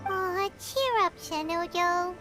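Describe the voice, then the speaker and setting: A woman voicing a tiny, cheeky, excitable fairy companion in a video game, with a squeaky childlike voice. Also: takes it slowly. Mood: consoling. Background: loud game music.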